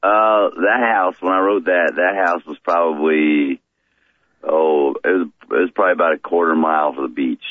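A man with a very deep voice, a touring musician as a radio guest, talking unhurriedly with many fillers and restarts. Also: normal speed, no room sound. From Florida, raised in rural Maryland. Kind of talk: radio interview by phone. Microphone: phone. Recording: tinny phone line.

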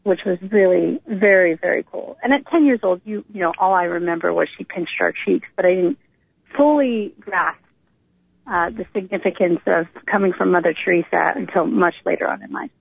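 A woman's voice: fairly low pitch, moderate pace, creaky, breathy edge, no echo. A middle-aged American woman from Northern California, telling her life story as an interview guest in an informal, conversational way over a phone line. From Northern California, United States. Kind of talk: personal storytelling in a casual interview. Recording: narrow tinny phone line.

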